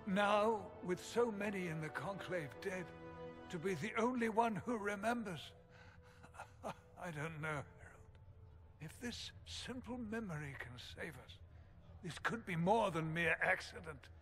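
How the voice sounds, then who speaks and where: A devout chancellor near death in a fantasy game. The voice is high and tense, weakened and short of breath. He speaks haltingly and reverently over faint music. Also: fairly bright tone. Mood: pained wonder. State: weak, strained, breathless. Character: dying male chancellor cleric, frail, earnest, devout. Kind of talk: dramatic video game acting.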